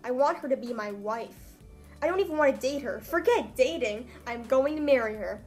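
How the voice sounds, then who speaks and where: A woman's voice: sits high, expressive, moderate pace, a little mellow, faint music behind. A young woman chatting casually in a comedic vlog, with wry, self-mocking asides. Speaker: vlogger. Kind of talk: casual comedic vlog chat, reading own old writing.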